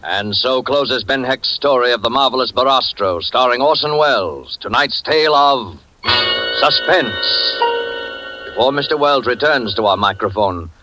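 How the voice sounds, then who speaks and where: A man's voice: mid-range voice, moderate pace, poor audio. A male radio announcer closing an episode in formal, polished 1940s broadcast style. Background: loud music.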